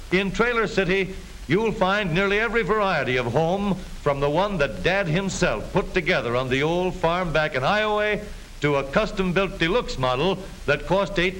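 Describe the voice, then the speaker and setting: Male American 1930s newsreel narrator, jaunty and animated, on an old hissy film soundtrack. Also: on the high side, rough recording. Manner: jaunty, folksy showmanship.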